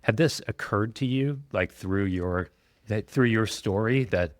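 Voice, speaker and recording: A man's voice: on the low side, measured pace, no echo, clean studio sound. A man co-hosting a podcast, enthusiastic and rambling, with many likes and ums. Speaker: podcast co-host. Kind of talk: casual podcast chat.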